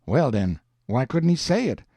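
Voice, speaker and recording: A man's voice: mid-range voice, big pitch swings, moderate pace, vocal fry, warm tone, dry recording. A man voicing an earnest, stubborn runaway slave in an audiobook, with a Southern American accent and a folksy, emphatic way of arguing. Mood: indignant. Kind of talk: audiobook character dialogue.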